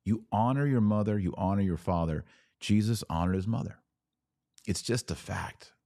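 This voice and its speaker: A man with a very low, mellow voice, recorded close and dry. He speaks as a religious podcast host addressing his audience directly, making his case in emphatic, clipped statements with an argumentative edge. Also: moderate pace, dry recording. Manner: emphatic, argumentative, speaks in short declarative phrases. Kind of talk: live apologetics talk answering viewer comments.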